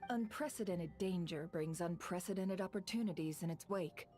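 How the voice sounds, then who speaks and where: An actress playing a composed, shrewd woman in a video game, calm and articulate in a knowing way. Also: fairly low pitch, normal speed, soft background music, lightly acted.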